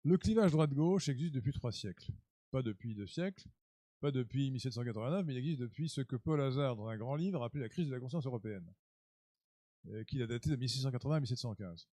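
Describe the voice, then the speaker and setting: A man's voice: medium pitch, animated, fast talker, mellow, dry recording. A man hosting a political club talk, speaking unscripted in a lecture style, full of fillers and restarts, and growing emphatic. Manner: hesitant, many fillers and restarts, rising to emphatic.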